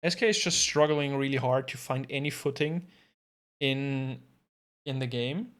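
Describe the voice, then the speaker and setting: A man's voice: mid-range voice, measured pace, fairly bright tone. A man giving casual live commentary on an esports match from his home setup, thinking aloud and adding self-deprecating asides. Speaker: esports co-streaming caster.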